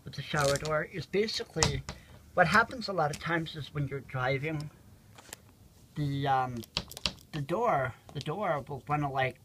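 A man's voice: fairly high pitch, unhurried, background noise. A man giving a casual, unscripted vlog tour, explaining things in a rambling, repetitive way on amateur handheld camera audio. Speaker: vlogger showing his motorhome. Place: small motorhome bathroom, indoors. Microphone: handheld camera or phone, held by speaker.

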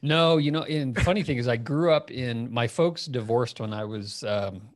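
A man in his late forties, raised in Phoenix, telling stories in a relaxed, rambling way with many fillers on a video call. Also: medium pitch, normal speed, dry recording, studio quality. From Phoenix, Arizona. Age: 40s. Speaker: guest, company founder. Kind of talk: casual storytelling interview. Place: home office. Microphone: headset over video call.

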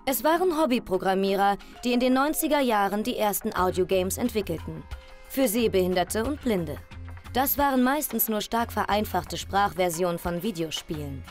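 Eine Frau liest als Reporterin den vorbereiteten Text eines Magazinbeitrags. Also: female voice, medium pitch, lively intonation, normal speed. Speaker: reporter voice-over. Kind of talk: scripted magazine report narration. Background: loud music.

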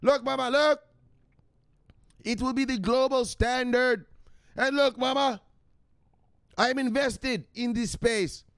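A man voicing a frantic grown son who shouts excited pleas at his mother in broken phrasing. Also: very high-pitched, slow, vocal fry, long pauses, clean tone, dry recording, clean studio sound, voice pushed up, full character voice. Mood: frantic pleading.

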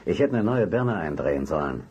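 An actor playing a caring, worried uncle in a German children's radio play, concerned and expressive. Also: male voice, sits low, fairly quick, slightly rough, dull audio, heavily acted. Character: worried uncle, male householder, caring, dismayed.

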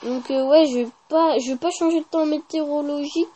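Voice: high voice, fairly even intonation, brisk, dark tone. A gaming YouTuber giving casual, informal gameplay commentary with slang and swearing.

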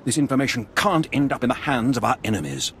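An actor playing a stern, indignant older military veteran in a video game. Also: male voice, mid-range voice, big pitch swings, fast, a bit bright, a little hiss or hum. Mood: urgent, indignant. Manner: stern, grumbling, earnest. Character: indignant veteran male Imperial officer, older, disgruntled, grave. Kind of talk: video game cutscene acting.

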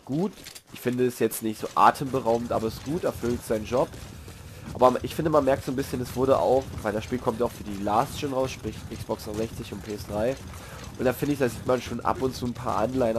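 Man with a cold, rambling game commentary, mid-range voice, loose slangy talk, faint hiss behind. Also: medium pitch. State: has a cold. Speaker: gamer giving a review. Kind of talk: casual game commentary over gameplay.

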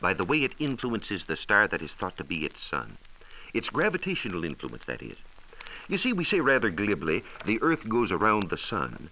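Deep-voiced American man, animated radio science explainer. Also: rough recording. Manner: measured, didactic, precise. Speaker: science reporter explaining astronomy. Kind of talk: scripted 1940s radio science talk. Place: radio studio. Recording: hissy 1940s radio transcription disc.

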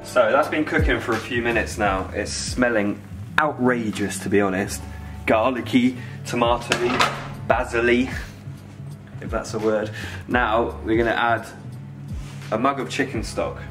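A man's voice: medium pitch, unhurried, over music. A British man in his twenties hosting a casual cooking tutorial in an informal, enthusiastic way from a home kitchen. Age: 20s. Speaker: cooking video host.

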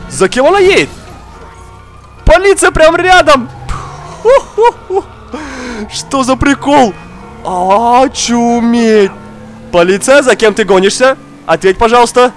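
A young man, slightly under the weather, giving excitable casual gameplay commentary, exclaiming, repeating words and talking straight to the viewer. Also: extremely high pitch, slow pace, pauses often, soft background music. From outside Russia. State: slightly ill, coughing now and then. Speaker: gaming streamer. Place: indoor room, seated at racing wheel.

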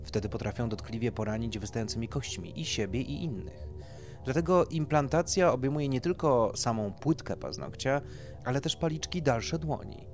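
A man's voice: medium pitch, animated, normal speed, a little mellow, voice pushed up, natural voice. Man voicing tactful, clinical doctor over music. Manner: polite, clinical, explanatory. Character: smooth, tactful male doctor selling grisly procedures. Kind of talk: audiobook character dialogue.

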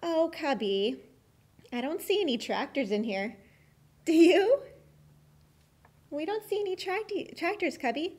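A woman's voice: high voice, lively intonation, a little slow, long pauses, a little mellow. A young woman hosting a children's puppet show, speaking simply to little ones in a coaxing, reassuring way. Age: young adult. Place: small classroom, indoors, seated at a table.

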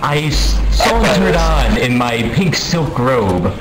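A man telling a mock-dramatic comic story over game voice chat, pompous and deliberate, with profanity thrown in. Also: mid-range voice, very animated, over loud music. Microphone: gaming voice chat microphone. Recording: roomy, noisy game voice-chat audio.

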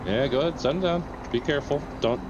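A man's voice: on the high side, fast, highs cut off, lightly acted. A man playing a genial, joking sheriff's deputy in improvised game role-play, casual and somewhat hesitant. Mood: teasing. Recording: noisy game voice chat, vehicle ambience.

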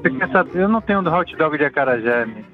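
A man's voice: a little high, animated, very fast, narrow, tinny audio, faint music behind. A man selling hot dogs, answering a prank call with annoyed, taunting and profane replies. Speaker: hot dog vendor.

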